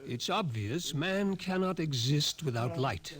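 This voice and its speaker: An actor playing a grave, fatalistic scientist doctor, lecturing emphatically with dramatic pauses in 1950s radio drama style. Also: male voice, on the high side, lively intonation. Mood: ominous, certain. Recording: faintly noisy old 1950s radio recording.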